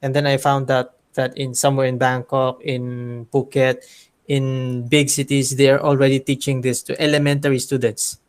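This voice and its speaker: A man with a Filipino accent, a teacher and graduate student, speaking haltingly and self-deprecatingly in a class discussion over a video call. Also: mid-range voice, flat delivery, smooth. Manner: halting, self-deprecating, mixes Tagalog and English.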